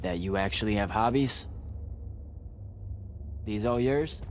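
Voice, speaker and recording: A man playing an unassuming young battlemage hero in a fantasy video game, casual, halting and sincere. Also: on the low side, slight background noise.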